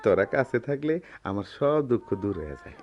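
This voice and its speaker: A patient, poor middle-aged goldsmith in a radio play. His voice is fairly low, with a gentle, earnest, philosophical manner and a rural Bangladeshi accent. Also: sing-song, brisk, muffled recording. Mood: tender, resigned. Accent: colloquial rural Bangladeshi Bengali. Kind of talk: radio drama acting.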